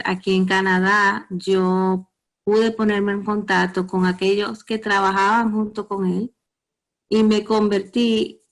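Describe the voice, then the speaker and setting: A middle-aged Dominican woman talks calmly as a radio guest, in a very even tone with little rise and fall. Her Dominican Spanish accent is clear, and she pauses now and then to find her words. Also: mid-range voice. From Dominican Republic. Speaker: guest psychotherapist. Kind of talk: radio interview.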